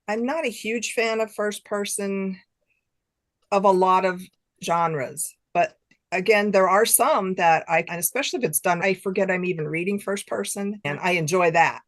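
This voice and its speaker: A woman's voice: mid-range voice, fairly bright tone. A woman author chatting casually as an interview guest, enthusiastic and repeating phrases for emphasis. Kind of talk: casual chat.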